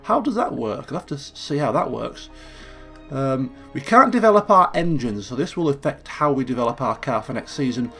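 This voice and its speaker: A man hosting a casual gameplay commentary, thinking aloud and correcting himself as he goes, his voice a little croaky from just waking up. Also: medium pitch, very animated, moderate pace, fairly bright tone, faint music behind. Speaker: let's play host.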